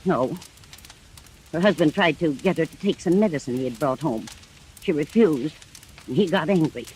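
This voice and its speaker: An anxious woman caregiver in an old radio mystery. She testifies earnestly and deliberately, ready to accuse, over a faint layer of background noise. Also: low voice, lively intonation, darkish tone, poor audio. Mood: grave. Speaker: witness in a mystery drama.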